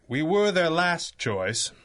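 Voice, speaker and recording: Measured, wry pulp superhero. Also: male voice, a little high, sing-song, fairly bright tone, full character voice. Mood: grave, brooding. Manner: measured, formal, dryly wry. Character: commanding, wry masked superhero man of 1930s pulp. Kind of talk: 1930s-style radio drama acting.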